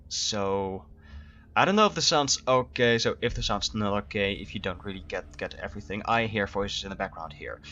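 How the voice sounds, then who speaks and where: Male streamer, low voice, loose chatty delivery, muffled stream audio. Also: normal speed, pushed and bright, slight background noise. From Netherlands. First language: Dutch. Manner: chatty, rambling with restarts. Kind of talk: live gameplay commentary.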